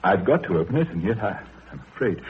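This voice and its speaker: Troubled wealthy older man, very low voice, grave and halting, 1930s radio drama, narrow scratchy sound. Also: very animated. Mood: distressed. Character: troubled wealthy older widower confiding to his doctor.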